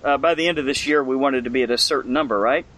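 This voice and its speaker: A man's voice: medium pitch, quick pace, fairly bright tone. A man co-hosting a horror podcast in casual chat, eager and friendly, often restarting his sentences and laughing. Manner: enthusiastic, stumbling restarts, laughs a lot. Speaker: podcast co-host.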